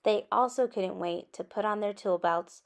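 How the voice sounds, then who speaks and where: A woman reading a children's story aloud in an expressive way, with animated asides addressed to young listeners. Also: medium pitch, normal speed. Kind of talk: expressive picture-book read-aloud.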